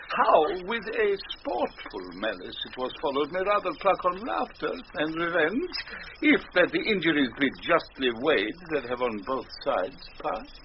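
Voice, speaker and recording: A lively, high-voiced, conciliatory servant. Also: male voice, heavily acted. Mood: amused, conciliatory. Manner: earnest, conciliatory, courteous. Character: mischievous, appeasing gentleman servant confessing a prank. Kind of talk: classical Shakespearean radio acting. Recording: dull, faintly noisy old radio broadcast.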